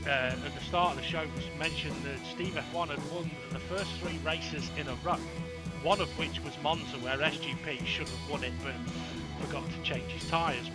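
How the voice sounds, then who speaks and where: A man commentating on an online sim race, chatty and excitable, with lots of ums. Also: fairly high pitch, low-quality recording. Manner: excitable, chatty, with many fillers. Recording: loud music under the voice.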